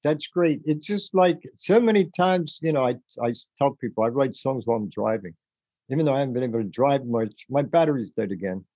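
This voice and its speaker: A man hosting a podcast about the music business, rambling and animated as he gives advice, with a rough voice. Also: medium pitch, fairly quick, muffled recording. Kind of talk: casual advice chat.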